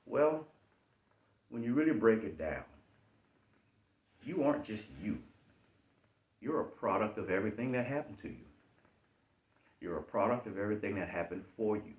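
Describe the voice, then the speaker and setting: A man's voice: moderate pace, long pauses, echoey room, dull audio. A man from Charleston with a Charleston Geechee accent, giving an emphatic, repetitive motivational talk. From Charleston, South Carolina. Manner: emphatic, repetitive, lively swings in pitch. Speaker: self-help speaker.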